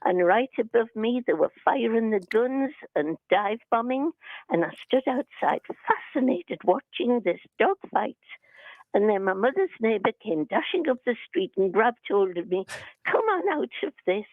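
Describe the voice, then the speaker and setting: A woman in her eighties with a croaky voice, calling a radio show to tell a childhood memory. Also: a little high, expressive, normal speed, no echo. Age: 80s. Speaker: caller. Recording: phone line.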